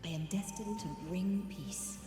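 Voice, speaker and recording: A woman playing a solemn, resolute celestial goddess in a video game, speaking in grave, measured proclamations with echoing reverb. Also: medium pitch, warm tone, natural voice. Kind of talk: scripted game voice lines. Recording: echoey long reverb over faint music.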